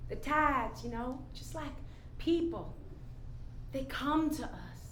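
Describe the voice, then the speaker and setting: A woman's voice: sits high, pushed and bright. A woman playing a jaded, outspoken daughter with a blunt, scoffing, emphatic manner in a staged play reading. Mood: gentle, reflective. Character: jaded, outspoken woman chasing money owed to her grieving father. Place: indoor room at a staged reading. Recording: echoey room, noticeable background noise.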